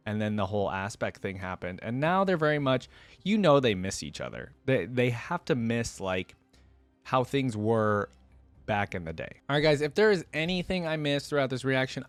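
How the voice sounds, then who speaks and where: A man's voice: medium pitch, dry recording. A man chatting casually and informally to his viewers as a reaction video host, rambling conversationally as he goes. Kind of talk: casual unscripted chat to viewers.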